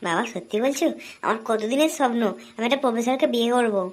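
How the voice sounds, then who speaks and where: A woman voicing a sharp-tongued, teasing young girlfriend in a comic cartoon, with a scolding, sarcastic manner. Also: fairly high pitch, fast talker, a little hiss or hum, lightly acted. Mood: eager.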